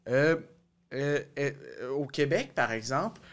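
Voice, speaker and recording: A man's voice: mid-range voice, animated, unhurried. A young man with a Québécois French accent hosting a casual discussion, rephrasing as he searches for his wording, on a studio mic. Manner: searching, roundabout, repeats and rephrases. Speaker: podcast host. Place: small indoor podcast studio. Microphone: close studio microphone.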